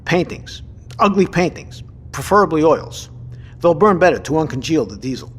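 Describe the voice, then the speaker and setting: Gruff, animated military commander. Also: male voice, on the high side, moderate pace, a little hiss or hum. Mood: commanding. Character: gruff military commander, impatient, dryly sarcastic. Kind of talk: naturalistic audio drama acting.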